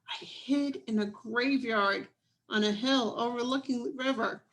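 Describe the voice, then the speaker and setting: Young girl storyteller, played by a woman: brave, frightened, earnest, hushed, slow delivery, slightly high pitch, children's storybook. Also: close to an everyday voice, rough recording. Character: Cassie, brave frightened young girl telling her escape.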